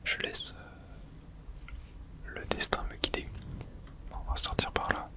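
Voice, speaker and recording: A person whispering breathy, casual video game commentary in ASMR style, thinking aloud in short remarks close to the microphone. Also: takes it slowly.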